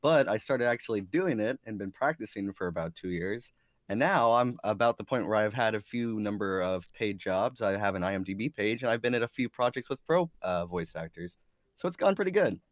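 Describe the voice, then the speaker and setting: A man with a nasal, lowish voice talks about his path into paid voice work. He is steady and matter-of-fact. The sound is close and dry. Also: brisk, dry recording. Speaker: voice actor panelist. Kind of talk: casual panel chat.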